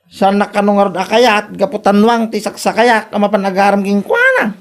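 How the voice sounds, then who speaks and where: A woman's voice: medium pitch, animated, normal speed, lightly acted. A woman playing a humble, easily flustered country suitor in a comedy audio drama, given to exclamations. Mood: humble, sulky. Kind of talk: Ilocano comedy radio drama acting.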